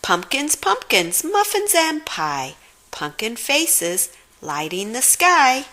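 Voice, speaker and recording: Woman voicing an excitable, bouncy little boy frog. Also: on the high side, slow, voice pushed up, exaggerated voice. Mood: gleeful singing. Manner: exclaiming, sing-song. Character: excitable young male frog, bouncy and eager. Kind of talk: children's storybook read-aloud.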